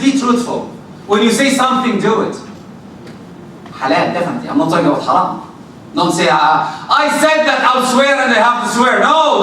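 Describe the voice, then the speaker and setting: A man giving an informal religious talk to young people, loud and emphatic, repeating points and asking rhetorical questions. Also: high voice, a little hiss or hum. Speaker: Islamic speaker. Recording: echoey hall, voice from far off.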